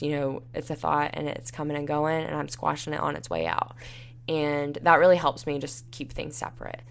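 Young female podcast host, low gravelly voice, tired and raspy, rambling and casual. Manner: rambling, many fillers like "like" and "you know". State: tired and dehydrated. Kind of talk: solo podcast monologue, casual advice.

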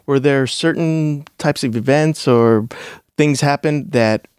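A man's voice: mid-range voice, slow, a little mellow, clear, resonant tone, no echo, studio quality. A man hosting an interview show, upbeat in the manner of a presenter and mixing in Spanish phrases. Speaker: host. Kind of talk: show host introduction and interview. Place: recording studio.

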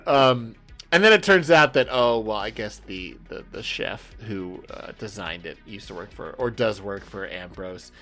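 A man's voice: fairly low pitch, expressive, measured pace, pushed and bright. Enthusiastic, sweary man chatting over faint music. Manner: enthusiastic, profane, rambling. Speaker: podcast co-host. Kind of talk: casual book-club style chat.

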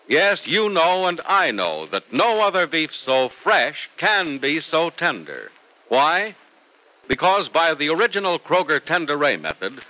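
A man delivering scripted announcements as an old-time radio announcer and pitchman. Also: sharp tone, low-quality recording.

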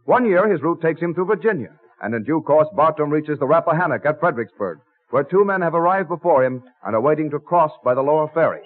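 A man's voice: on the high side, lively intonation, quick pace, narrow, tinny audio. A man giving scripted narration for a vintage radio drama. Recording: thin old radio recording.

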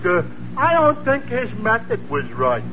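Dim, amiable Brooklyn oaf, hesitant, high-voiced, 1940s radio comedy, thin noisy sound. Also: male voice, wildly swooping pitch, narrow, tinny audio, exaggerated voice. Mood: earnest, dopey. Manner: slow-witted, hesitant, stumbling into punchlines. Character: dim-witted, good-natured Brooklyn barfly, adult man.

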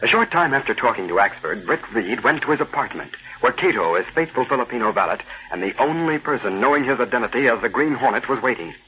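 A man's voice: mid-range voice, expressive, quick pace, hardly any pauses, slight background noise. Male announcer, tinny vintage radio. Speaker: radio drama announcer and narrator. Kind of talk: scripted 1940s radio narration.

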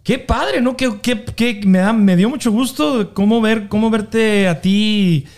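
A man hosting a podcast, chatting casually and warmly with slang and many fillers. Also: sits high, measured pace. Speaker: podcast host. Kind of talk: casual interview chat.

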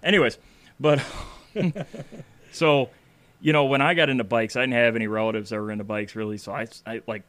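A man's voice: mid-range voice, steady tone, moderate pace, fairly bright tone. A man in his forties hosting a podcast in loose, casual interview chat, swearing freely. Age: 40s. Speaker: podcast host.

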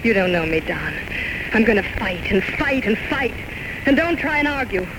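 A woman's voice: medium pitch, big pitch swings, moderate pace, natural voice. Woman playing devoted, stubborn sweetheart, old noisy radio. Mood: determined. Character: devoted, stubborn girlfriend of an alcoholic man, earnest and reassuring. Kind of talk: 1940s-1950s radio drama acting.

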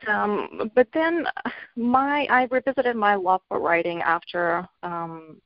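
A middle-aged woman from Bulgaria, a children's author being interviewed, talking in a hesitant way with many ums and soft laughs. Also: on the low side, dry recording. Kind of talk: casual interview talk. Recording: dull lo-fi audio.

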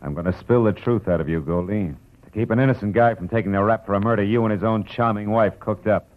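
An actor playing a tough, sardonic, weary private detective in an old radio drama, with wisecracking, clipped slang. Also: male voice, fairly low pitch, fast talker, lightly acted. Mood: tough, threatening. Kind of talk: 1940s-50s radio drama acting and noir narration. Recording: muffled old radio recording with hiss.

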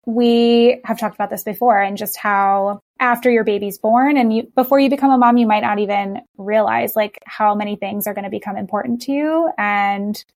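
Woman podcast host, chatty and anecdotal, vocal fry, dry close sound. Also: medium pitch, moderate pace, few pauses, no room sound. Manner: chatty, warm agreement, personal anecdotes. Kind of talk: casual podcast interview.

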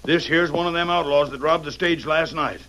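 An actor playing a stubborn, suspicious frontier sheriff in a radio western, with a blustery, folksy American Western drawl. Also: male voice, fairly high pitch, fairly even intonation, a little fast, fairly bright tone, close to an everyday voice. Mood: impatient. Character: stubborn, suspicious old-West town sheriff. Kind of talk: old-time radio western acting. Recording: faintly noisy old radio recording.

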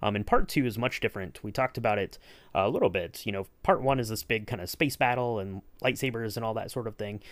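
Man, podcast host, lowish voice, dry close sound, casual explanatory chat. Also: lively intonation, quick pace, dry recording.

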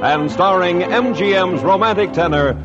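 Grand, high-pitched vintage radio announcer. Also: male voice, moderate pace. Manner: grand, formal showman's delivery. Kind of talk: 1950s radio show announcing. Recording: dull vintage radio recording, loud music.

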